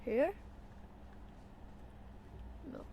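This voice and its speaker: A woman's voice: drawn-out words, mellow, slight background noise. A woman streaming a game, muttering short, casual reactions to herself as she plays. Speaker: streamer playing a story game. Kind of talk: casual reactions while gaming.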